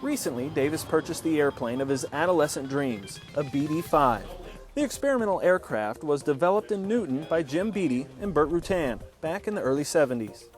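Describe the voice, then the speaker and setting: A man reading a company newsreel as its anchor, in a smooth scripted announcer delivery. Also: a little high, lively intonation, brisk, faint music behind. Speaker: news anchor. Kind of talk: scripted news narration.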